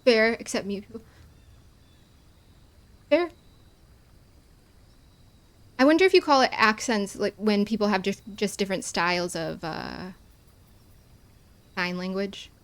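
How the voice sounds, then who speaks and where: A woman's voice: medium pitch, unhurried, long pauses. A woman from the American Midwest with a Chicago accent, chatting casually while streaming a game, with long vowels and careful over-enunciation.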